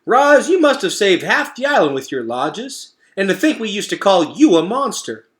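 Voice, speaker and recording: A man's voice: high voice, big pitch swings, normal speed, a bit bright, pitched above the performer's own voice. A man voicing a blustery, folksy, big-hearted burrowing animal from a storybook, hearty and emphatic. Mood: hearty gratitude.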